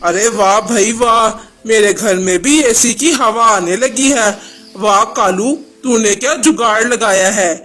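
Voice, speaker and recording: A man's voice: high voice, measured pace, sharp tone, faint music behind. A man voicing a sly, freeloading crow in a children's cartoon story, with an exclamatory, theatrical delivery. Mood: gleeful, sly. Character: scheming freeloading male crow, sly and self-satisfied.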